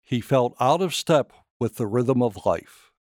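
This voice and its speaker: A man reading his own short story as scripted narration, in a measured, expressive storytelling manner. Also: mid-range voice, dry recording, clean studio sound.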